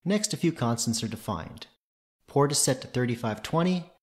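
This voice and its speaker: A man's voice: medium pitch, animated, moderate pace. A man presenting a tutorial, reading scripted technical narration in a methodical, matter-of-fact way.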